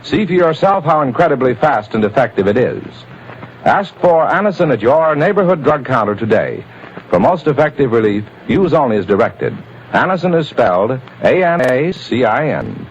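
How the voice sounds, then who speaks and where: A man's voice: medium pitch, sing-song, normal speed, slight background noise. Formal old-time radio announcer man. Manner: formal, declamatory. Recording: dull lo-fi old radio recording.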